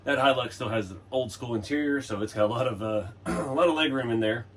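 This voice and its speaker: A man with a middle-pitched voice, talking through a relaxed workshop tutorial in a home garage. He is chatty and easygoing, fills pauses often, and sounds a bit hoarse. Faint ambient noise sits behind him. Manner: laid-back, chatty, frequent "uh" and chuckles. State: hoarse, losing his voice. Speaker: RC hobby channel host.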